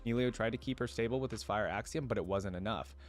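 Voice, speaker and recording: A man hosting a reaction video, casually commenting, reading aloud and thinking out loud as he explains things. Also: on the low side, fast talker, faint music behind.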